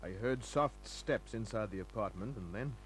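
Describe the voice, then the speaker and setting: An actor playing a hard-boiled private detective, laconic, breezy and sardonic, in the manner of old-time radio drama. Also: male voice, fairly low pitch, normal speed, close to an everyday voice. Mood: dry narrating. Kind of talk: old-time radio acting and first-person narration. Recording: noisy humming old radio recording.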